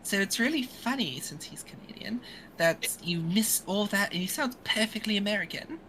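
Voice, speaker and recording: Lively bright-voiced woman host. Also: mid-range voice, moderate pace, slight background noise, poor audio. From a metropolitan area. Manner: whispers asides, laughs often. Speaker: podcast host. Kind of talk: casual chat.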